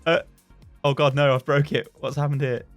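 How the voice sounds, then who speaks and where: A man's voice: medium pitch, flat delivery, normal speed, dry recording, faint music behind. A young British man chatting casually as a gaming YouTuber, rambling and laughing as he reads and reacts to forum posts. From Britain.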